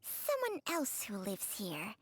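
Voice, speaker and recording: A woman playing an earnest, thoughtful young investigator in a video game, reasoning aloud with deliberate phrasing. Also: mid-range voice, wildly swooping pitch, fairly bright tone, no echo, full character voice. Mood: grave, deliberate.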